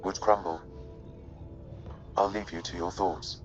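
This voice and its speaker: An actor playing a calm, measured male advisor in a video game cutscene. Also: deep voice, dull audio, soft background music. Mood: measured reassurance.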